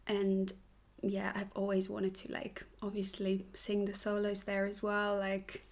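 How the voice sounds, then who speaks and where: A woman's voice: mid-range voice, monotone, measured pace, darkish tone. Chatty young Swiss woman. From Switzerland. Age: 20s. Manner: chatty, laughs often, many ums and likes. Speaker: guest. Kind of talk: casual interview chat.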